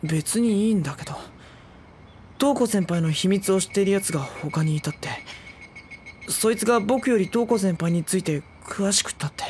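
An actress playing a mild-mannered teenage schoolboy who sounds dry, reluctant and wary. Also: female voice, medium pitch, animated, moderate pace, a little mellow, slight background noise, natural voice. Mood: sulky. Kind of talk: drama CD acting.

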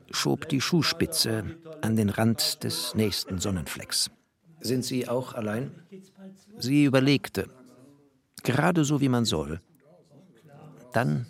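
An actor playing a wry, bookish man who narrates a literary radio play in a mannered, expressive way. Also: medium pitch, slow pace, creaky, long pauses. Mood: wry, observant. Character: bookish, wry first-person narrator, a former interpreter.

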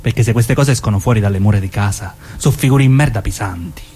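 An actor playing a menacing, evasive southern Italian mafioso in a theatrical dramatic reading, with a southern accent. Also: male voice, on the low side, quick pace, mellow, slight background noise, close to an everyday voice. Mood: cajoling, menacing.

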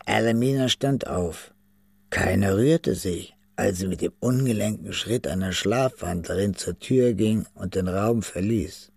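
Man narrating, low voice, gravelly, husky, dry studio. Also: a little slow, darkish tone, no room sound. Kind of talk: scripted narration.

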